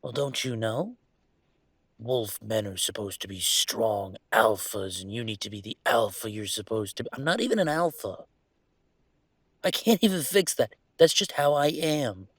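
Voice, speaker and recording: A man playing a troubled young male werewolf in an intimate audio roleplay, confiding in a halting, sardonic, self-deprecating way. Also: on the low side, animated, slightly rough, no echo. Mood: bitter mocking.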